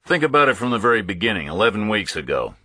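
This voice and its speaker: Man as a deep, terse investigator. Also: big pitch swings, fast, pushed and bright, husky, no echo, full character voice. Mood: matter-of-fact. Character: tough, self-critical male investigator, the story's hero.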